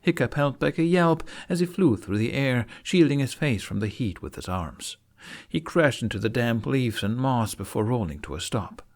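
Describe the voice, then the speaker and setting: A man narrating a fantasy story in an expressive, theatrical audiobook style. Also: mid-range voice, normal speed. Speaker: fan fiction narrator.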